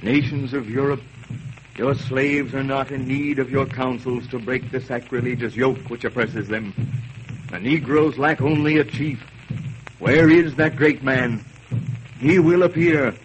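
A determined enslaved man, voiced by an actor, earnest and solemn in an even, mid-pitched voice. Faint hiss sits under this old radio broadcast. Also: unhurried, lo-fi sound, lightly acted. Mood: resolute. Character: resolute enslaved man, future liberator. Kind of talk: 1940s radio acting.

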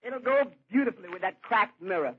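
An actor playing a sardonic, wisecracking tavern waiter in an old-time radio comedy, husky and teasing. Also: male voice, sits high, lively intonation, fairly quick, heavy background noise. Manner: dry, teasing comebacks. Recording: dull old radio recording.

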